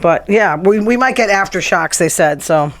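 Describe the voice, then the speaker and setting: A woman's voice: mid-range voice, animated, normal speed. A blunt, sarcastic woman hosting a casual talk show, speaking. Her voice sounds strained and worn, as if she nearly lost it. She rants in a chatty, freewheeling way. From New England. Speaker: podcast host.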